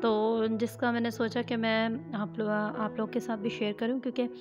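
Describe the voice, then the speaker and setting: Woman, casual home product review, chatty with hesitant fillers, high-pitched, music playing under. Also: little pitch movement, moderate pace, dull audio. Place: home, indoors.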